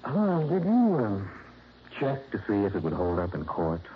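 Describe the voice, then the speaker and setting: An actor playing a shrewd, wry insurance investigator in a 1960s radio drama, pressing with pointed, insinuating questions. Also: male voice, fairly low pitch, wildly swooping pitch, moderate pace, a little hiss or hum. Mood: probing. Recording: dull lo-fi vintage radio broadcast.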